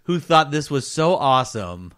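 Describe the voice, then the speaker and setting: A man's voice: fairly high pitch, sing-song, moderate pace, fairly bright tone, clean tone. A man co-hosting a podcast in casual, slangy, joking chat. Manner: slangy, jokey. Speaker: podcast co-host.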